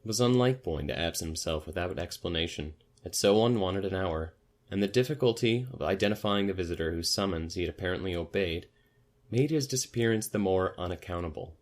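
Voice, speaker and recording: A man with a low-pitched voice reads literary fiction aloud. He delivers it as scripted audiobook narration, in the role of storyteller. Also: fairly quick.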